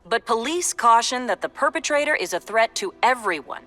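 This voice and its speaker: An actress playing a formal, measured television news reporter in a video game, delivering a scripted report. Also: female voice, on the high side, animated, fairly bright tone. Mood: serious.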